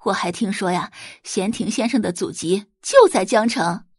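A female performer acts a pushy middle-aged mother for an audio drama. She coaxes and exclaims with animated intonation and a husky tone. The sound is close and dry, her manner gossipy and emphatic. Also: mid-range voice, moderate pace, no echo. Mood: insistent.

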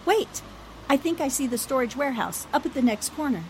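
An actress playing a determined, inquisitive newspaper reporter in a 1940s radio drama, with a probing manner. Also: female voice, sits high, lively intonation, brisk, a little hiss or hum, low-quality recording. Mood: curious.

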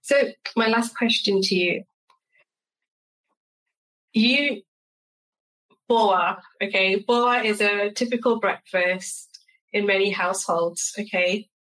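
A woman's voice: mid-range voice, takes it slowly, long pauses, fairly bright tone, poor audio. A woman hosting a food podcast, chatty and friendly, asking questions with short eager agreements. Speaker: podcast host. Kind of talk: casual interview.

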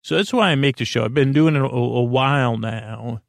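Gravelly, low-key male podcast host. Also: dry recording, studio quality. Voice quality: gravelly, creaky. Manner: meandering, halting, often trailing off and backtracking. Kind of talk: rambling bedtime podcast monologue, addressed to the listener.

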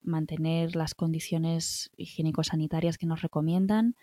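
A woman's voice: sits low, level tone, normal speed, warm tone, no room sound, studio quality. A middle-aged woman with a Spanish accent from Spain, giving encouraging, reassuring self-care advice in conversation, often checking in with little tag questions. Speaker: wellbeing guest giving advice.

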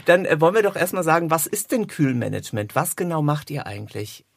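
A man's voice: a little high, very animated, quick pace, creaky, dry recording, studio quality. A man hosting a chatty interview podcast, speaking informally and with enthusiasm. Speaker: podcast host. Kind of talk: chatty interview podcast talk about audiobooks. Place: recording studio in Hamburg. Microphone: close studio microphone.

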